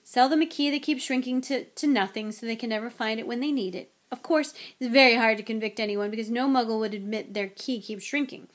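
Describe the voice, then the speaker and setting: A woman's voice: a little high, a little fast, hardly any pauses, close to an everyday voice. A woman voicing a mild, tired middle-aged father in a storybook, with a hesitant, mumbling manner. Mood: weary. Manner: hesitant, stammering, sighing, mumbling.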